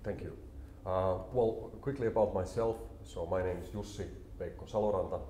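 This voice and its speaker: A man, a company CEO explaining his work calmly and in a measured way in an interview. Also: deep voice, slight background noise. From Finland. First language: Finnish. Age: 40s. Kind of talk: business interview. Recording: roomy echo, faint ambience.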